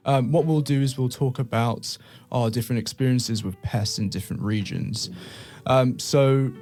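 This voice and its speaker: A man's voice: medium pitch, gravelly, warm tone. A man from England hosting a podcast in a casual, friendly, chatty way. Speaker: podcast host.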